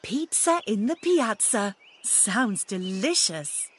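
A woman voicing a gentle, agreeable pig mother in a children's cartoon game. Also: mid-range voice, very animated, slow pace, slight background noise. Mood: warm, agreeable.

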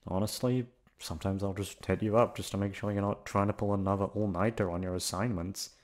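A young man playing a gruff but affectionate, protective delinquent student in an intimate ASMR roleplay, talking in a casual, foul-mouthed way. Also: lowish voice, fairly even intonation, fairly quick, a little mellow, natural voice. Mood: tender, concerned.

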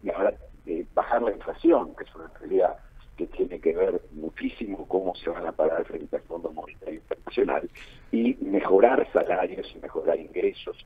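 Argentine male pundit, deep and rough-edged, emphatic repetition, narrow telephone-quality sound. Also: normal speed. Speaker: political analyst being interviewed. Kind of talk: radio interview analysis. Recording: narrow phone-like line, muffled.

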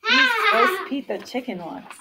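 A woman's voice: very high voice, wildly swooping pitch, moderate pace, heavy background noise, pitched above the performer's own voice, full character voice. A woman voicing a bold female turkey from a storybook, urgent and emphatic. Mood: excited. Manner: insistent, emphatic.

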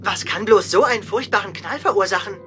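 A woman voicing a small, gentle, caring penguin medic in a children's cartoon radio play. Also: mid-range voice, fairly quick, lightly acted. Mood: concerned. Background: music.

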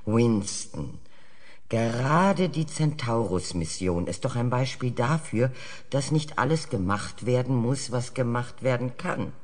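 An actor playing a measured, reproachful senior statesman, arguing deliberately in a dramatized television debate. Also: medium pitch, animated, close to an everyday voice. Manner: deliberate, argumentative, rhetorical. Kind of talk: audio drama acting as TV debate. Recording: long hall reverb, played-back debate.

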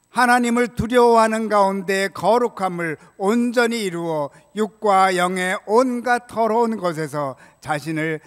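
An older man preaching a prepared sermon as a pastor, in a measured, solemn way, from a church pulpit microphone. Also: high voice, a bit bright. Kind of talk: sermon, read from prepared text. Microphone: gooseneck pulpit microphone. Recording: reverberant church hall sound.

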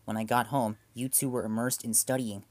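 A man voicing a stern, bossy older sister who scolds in a cold, flat way. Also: medium pitch, fairly quick, no room sound, pitched below the performer's own voice, lightly acted.

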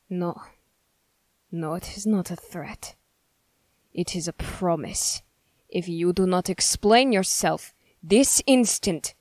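A woman voicing a stern, suspicious young male witch hunter in an ASMR roleplay, speaking formally and accusingly with deliberate pauses. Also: on the low side, no echo. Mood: stern, demanding. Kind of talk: ASMR character roleplay, scripted.

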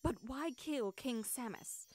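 Woman playing a high-voiced, defiant captive witch. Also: slow, a little mellow. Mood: indignant. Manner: pleading, resolute. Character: defiant captive witch mother, earnest and desperate. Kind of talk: fantasy audio roleplay acting.

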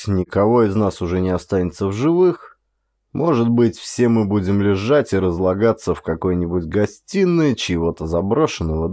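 Male actor for dark fiction audiobook: low voice, expressive brooding delivery, very little room sound. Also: dry recording, clean studio sound. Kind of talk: dramatic first-person fiction narration.

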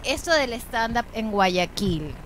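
A woman's voice: on the high side, expressive, slow pace. A woman in her forties with an Ecuadorian accent, co-hosting a casual radio chat show. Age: 40s. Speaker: radio co-host. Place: small indoor radio studio. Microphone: studio microphone. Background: music.

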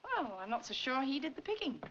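A woman's voice: high-pitched, very animated, brisk, a bit bright. An actress in her thirties playing a spirited, teasing, no-nonsense painter in a 1940s film. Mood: wry. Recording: roomy, dull, faintly noisy old film soundtrack.

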